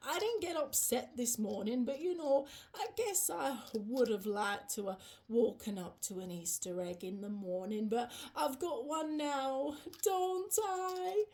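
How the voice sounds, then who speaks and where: A woman voicing a gentle, forgiving, slightly bashful frog who adds croaking catchwords, in children's playtime storytelling. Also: sits high, a little slow, few pauses, voice pushed down. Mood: pleased. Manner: punctuates sentences with "ribbit".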